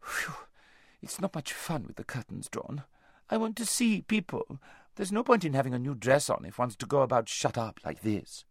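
Man putting on a gushing, high-pitched young society lady. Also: expressive, moderate pace, creaky, breathy edge, dry recording, clean studio sound. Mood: breezy, self-absorbed. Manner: gushing, languid, affected society drawl. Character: vain, lazy-voiced, giggly young noblewoman, loud and careless. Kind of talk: audiobook character voice.

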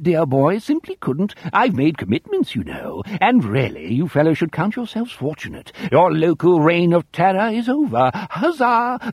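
A man's voice: fairly high pitch, breathy edge, dry recording, clean studio sound, voice pushed up, full character voice. Figure: Lord Malshun, a man doing a plummy villain. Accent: put-on posh English. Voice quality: affected, plummy, theatrical. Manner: breezy mock-cheerful menace. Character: sinister ghoulish male lord posing as a foppish English aristocrat.

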